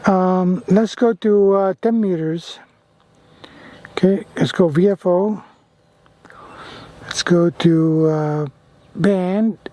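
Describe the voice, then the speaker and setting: Male radio hobby vlogger, fairly high but mellow voice, casual and effusive, repeats phrases. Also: slow pace, pauses often, no echo. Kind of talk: casual radio chat and hands-on commentary.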